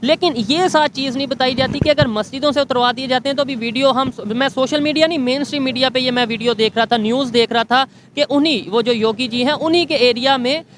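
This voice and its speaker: High-voiced Pakistani interviewer over street noise. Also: male voice, fast talker, hardly any pauses. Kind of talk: conversational interviewing with long questions. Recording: clear outdoor ambient and vehicle noise.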